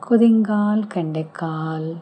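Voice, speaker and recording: A woman speaks in a low, deeply mellow voice as she guides a relaxation meditation. She moves slowly through gentle, soothing instructions, leaving pauses between them. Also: slight background noise.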